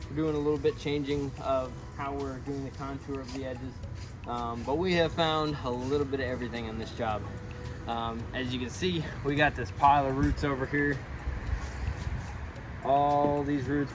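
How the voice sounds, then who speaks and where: A man giving an upbeat, informal walkthrough as a builder hosting a project video outdoors. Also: mid-range voice. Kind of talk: casual on-site project walkthrough. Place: outdoors at a backyard pond construction site. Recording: noisy outdoor site, vehicle and ambient noise.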